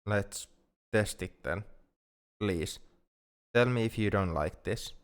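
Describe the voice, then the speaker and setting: Man playing a slow, low-voiced, tender alien. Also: slight background noise. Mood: nervous, earnest. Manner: halting, repeats words, gentle. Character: shy, tender, awkward male alien in love. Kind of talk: intimate ASMR roleplay.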